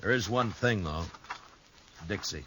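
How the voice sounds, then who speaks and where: An actor playing a calm, steady frontier marshal who also narrates, in a 1950s radio drama. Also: male voice, on the low side, a little hiss or hum, low-quality recording. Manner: calm, firm, measured. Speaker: narrator and lead. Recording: old radio broadcast with music bed.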